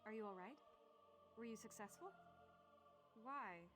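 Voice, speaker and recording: Higher-voiced concerned fantasy companion. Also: female voice, lively intonation, a little slow, fairly bright tone, soft background music. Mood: concerned, puzzled. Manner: inquiring, concerned. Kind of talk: video game character acting.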